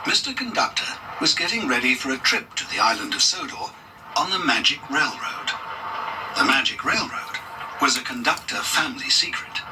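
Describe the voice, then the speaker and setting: A man reading a scripted children's story as narrator, in an expressive, dramatic read-along style. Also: mid-range voice, moderate pace, far from the mic, noisy background.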